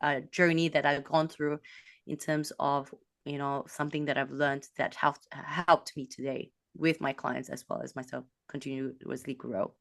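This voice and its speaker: A woman from a Malaysian background, a business coach, talking in an animated way with quick bursts and restarts. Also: extremely low pitch, gravelly, no echo. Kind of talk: casual interview talk.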